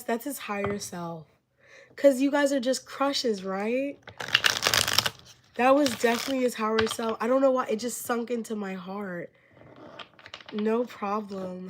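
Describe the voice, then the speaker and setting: A woman's voice: fairly high pitch, a little hiss or hum. A woman reading tarot on a live stream, rambling with many filler words and losing her voice from being sick. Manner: gushing thanks to viewers. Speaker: tarot reader.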